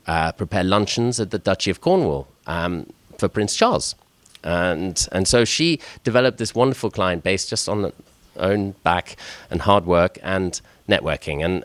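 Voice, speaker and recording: A middle-aged man from Switzerland, a chef, tells his life story to a gathering with a deep, low voice. He speaks haltingly and stumbles over repeated words as he begins each thought. The sound is dry and close, with almost no room around it. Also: a bit bright, no room sound. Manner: hesitant, repeats words when starting sentences. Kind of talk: personal story talk.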